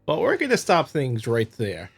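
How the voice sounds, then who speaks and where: Halting man narrating over light music. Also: mid-range voice, wildly swooping pitch, gravelly, husky. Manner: halting, stumbling reader. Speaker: playthrough host reading a visual novel. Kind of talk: narration and casual commentary.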